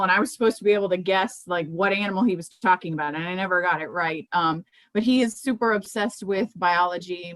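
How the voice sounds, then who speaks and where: A woman's voice: medium pitch, fairly bright tone, no echo, rough recording. A middle-aged American woman speaking in a conversational, informal way, as an author presenting her books. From Miami, United States.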